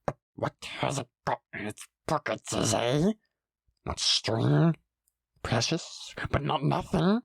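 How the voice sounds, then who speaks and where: A man's voice: mid-range voice, expressive, takes it slowly, creaky, slightly rough, no echo, studio quality, full character voice. A man voicing a slimy, greedy, ancient male creature that hisses and mutters to itself. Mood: whispering, scheming. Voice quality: hissing, whispery. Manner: wheedling, muttering to himself.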